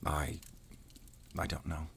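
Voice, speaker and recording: A man playing a brooding, world-weary werewolf hunter in an audio roleplay, speaking in a measured, solemn, introspective way. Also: extremely low pitch, monotone, gravelly, husky, exaggerated voice. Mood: brooding, regretful. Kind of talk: cinematic audio roleplay acting.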